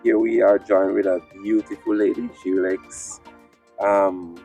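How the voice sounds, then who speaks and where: A man with a creaky, gravelly voice works as an interview host. He talks casually as he introduces and prompts his guest, with light music in the background. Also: fairly low pitch, flat delivery, unhurried, mellow.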